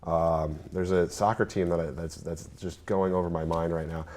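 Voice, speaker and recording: A man's voice: sits low, flat delivery, moderate pace, darkish tone. A young adult man from New York State, a guest on a student talk show, rambling and hesitant, filling gaps with ums and restarts. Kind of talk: casual chat.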